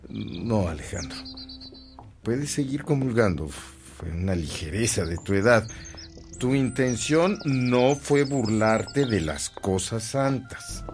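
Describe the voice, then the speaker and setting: Slow-spoken, gentle priest. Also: male voice, fairly low pitch, lively intonation, faint music behind. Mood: gentle, forgiving. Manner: gentle, measured, earnest. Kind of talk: radio drama acting.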